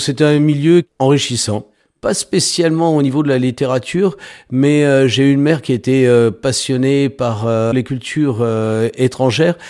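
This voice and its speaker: A man's voice: mid-range voice, a little mellow. A man in his sixties, a French author and storyteller, answering interview questions in a hesitant, thoughtful way with many fillers. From France.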